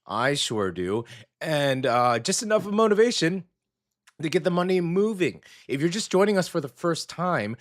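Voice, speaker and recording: Hoarse, gravelly radio host man. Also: fairly high pitch, big pitch swings, moderate pace. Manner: upbeat and chatty, with many fillers. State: hoarse, scratchy after a weekend out. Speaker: radio morning show host. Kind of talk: casual radio chat.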